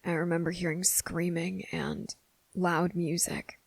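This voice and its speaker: Woman voicing a guarded, gravelly-voiced young boy, hushed. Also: fairly low pitch, level tone, no room sound, heavily acted. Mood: quiet, reflective. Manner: hushed, halting, pauses mid-thought. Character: young boy, guarded and fed up, confronting a hidden monster. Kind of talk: ASMR roleplay monologue.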